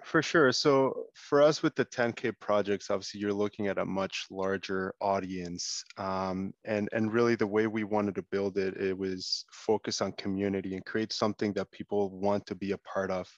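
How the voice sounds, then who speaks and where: A man who co-founded a project speaks as a panelist in a casual, measured way, leaning on "um". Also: fairly low pitch, dry recording. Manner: even, measured, leans on "um". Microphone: remote call audio. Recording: dull, lo-fi call audio.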